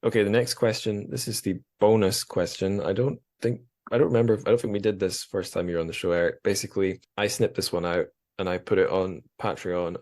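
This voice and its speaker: A man's voice: low-pitched, steady tone, fairly quick, hardly any pauses, a little mellow. A man in his thirties hosting a casual podcast, talking in an informal, reflective way with some swearing. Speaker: podcast host.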